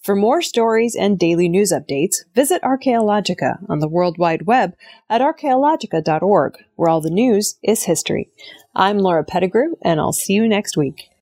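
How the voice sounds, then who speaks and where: A woman presenting scripted news in a measured, formal read delivery. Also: medium pitch, expressive, warm tone.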